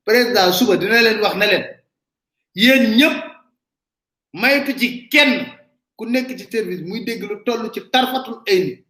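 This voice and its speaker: A man's voice: sits high, measured pace, pushed and bright, roomy sound. A man with a Senegalese accent giving emphatic, casual live political commentary straight to his viewers. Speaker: online commentator.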